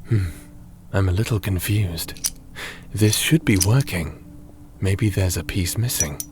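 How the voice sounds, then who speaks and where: A man playing a gentle, cautious fantasy companion in an ASMR roleplay, speaking in a measured, soothing, slightly formal way. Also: low voice, slight background noise. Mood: resolved, gentle. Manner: measured, soothing, slightly formal, sometimes breathy near-whisper. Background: music.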